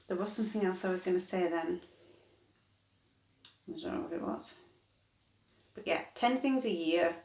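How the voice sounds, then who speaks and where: A woman's voice: mid-range voice, a little mellow. A woman in her thirties chatting casually to camera, rambling and correcting herself as she goes. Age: 30s. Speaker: vlogger. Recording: echoey room.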